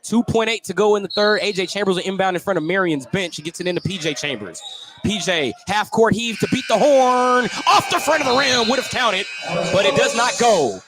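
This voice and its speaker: High-pitched male basketball play-by-play announcer. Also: sing-song, fast, noisy background. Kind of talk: live high school basketball radio commentary.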